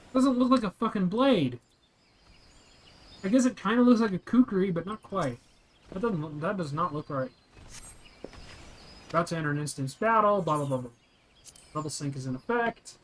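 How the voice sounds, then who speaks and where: Sweary man describing a video game, high-pitched. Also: a little hiss or hum. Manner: sweary, blunt. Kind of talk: casual play-by-play visual description. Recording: game music behind the voice. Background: video game music.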